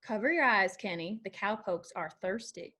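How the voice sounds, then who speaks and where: A woman's voice: mid-range voice, animated, normal speed, bright, forceful tone. A woman playing a wry, sarcastic young bartender from a country honky-tonk in a screenplay read. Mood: wry exasperation.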